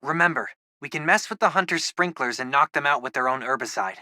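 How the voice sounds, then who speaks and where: A man with an American accent voicing a geeky, excitable teenage boy, a loyal superhero's tech sidekick in a video game, talking informally and eagerly. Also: medium pitch, fairly quick, dry recording, clean studio sound. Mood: casual briefing. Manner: informal, eager, nerdy tech talk with quips. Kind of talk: video game voice acting, casual radio-style chatter.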